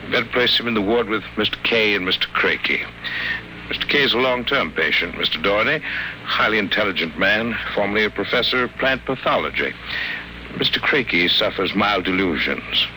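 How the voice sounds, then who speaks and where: An actor playing an older sanatorium doctor, courteous, unctuous and faintly phony, in old-time radio drama style. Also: male voice, lowish voice, lively intonation, brisk, pushed and bright. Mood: courteous, businesslike. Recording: noisy old radio recording.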